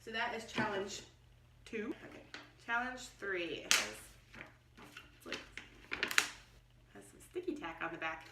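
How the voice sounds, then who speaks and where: A woman's voice: mid-range voice, expressive, unhurried, pauses often, heavy background noise. A middle-aged American woman chatting informally as she explains things in a casual unboxing review. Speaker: homeschooling vlogger and product reviewer. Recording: roomy echo, distant mic.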